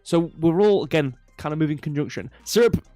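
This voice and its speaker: A man's voice: a little high, animated, a little fast, mellow, no echo, faint music behind. Nasal man rambling on a livestream. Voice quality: slightly nasal, stuffy nose. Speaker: crypto livestream host. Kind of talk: casual rambling livestream market commentary. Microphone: close microphone.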